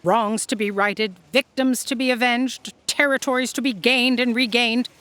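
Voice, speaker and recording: A woman's voice: on the high side, fairly even intonation, moderate pace, fairly bright tone, no room sound, natural voice. A woman playing an imperious, self-serving female boss in a scripted comedy audio drama, pompous and authoritative. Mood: haughty.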